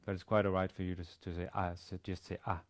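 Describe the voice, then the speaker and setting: A man in his forties with a Danish accent lectures as a language teacher. His voice sits very low and crackles with vocal fry. He explains haltingly, often doubling back on small words as he goes. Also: level tone. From Denmark. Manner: halting, hesitant delivery with repeated words. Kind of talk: instructional lecture. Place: indoor studio with plain grey backdrop.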